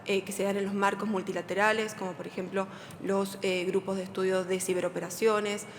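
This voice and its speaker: Flat-voiced woman panelist. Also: mid-range voice, fairly quick, a little hiss or hum. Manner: steady, even, with filler hesitations. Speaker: Red Cross representative panelist. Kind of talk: formal panel presentation. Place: large conference hall.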